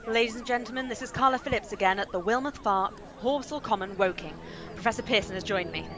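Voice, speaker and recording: An actress playing a female radio reporter in a radio play, briskly announcing and getting flustered at a strange scene. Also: on the high side, a crowd in the background, close to an everyday voice. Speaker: radio commentator. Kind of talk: amateur radio play acting.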